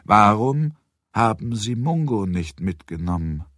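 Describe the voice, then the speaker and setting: A man's voice: on the low side, very animated, a little slow, a bit bright, no room sound, clean studio sound. A man voicing a baffled, courteous police superintendent in an audiobook, hesitant, restrained and questioning. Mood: puzzled. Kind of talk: audiobook character dialogue.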